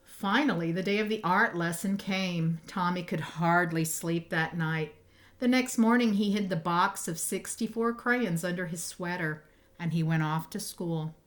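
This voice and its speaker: Woman reading a children's storybook, lowish voice. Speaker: storybook reader. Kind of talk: reading a children's picture book aloud.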